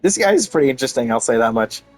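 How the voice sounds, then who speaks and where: A man's voice: mid-range voice, lively intonation, rapid-fire, soft background music. A young man giving casual, rambling game commentary with enthusiasm, often repeating himself. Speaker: game streamer.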